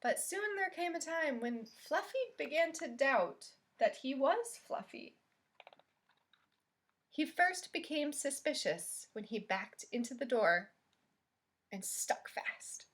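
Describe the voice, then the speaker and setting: A woman leads a children's storytime in a lightly high voice. She reads very slowly and expressively, with questions put to the young listeners along the way. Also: very animated, fairly bright tone.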